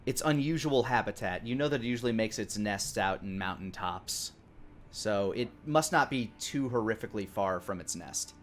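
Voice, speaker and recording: A man's voice: lowish voice, lively intonation, normal speed. A man narrating a tabletop game as game master, improvising and searching for words as he describes things. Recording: clearly noisy background.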